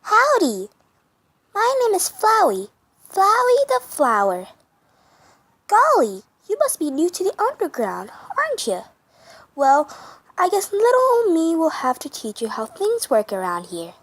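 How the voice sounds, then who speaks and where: A woman's voice: very high-pitched, wildly swooping pitch, a little slow, fairly bright tone, dry recording, voice pushed up, full character voice. A woman voicing a falsely cheerful flower creature in an amateur comic dub, with a chirpy, overly friendly manner. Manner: chirpy, overly friendly, mid-word restarts.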